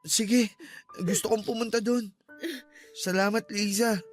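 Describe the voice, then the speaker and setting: A man's voice: high-pitched, fairly even intonation, slow. A man playing a polite, bewildered long-lost son in a Filipino radio drama, with a Filipino accent. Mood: subdued. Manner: earnest, respectful. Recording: music under the voice.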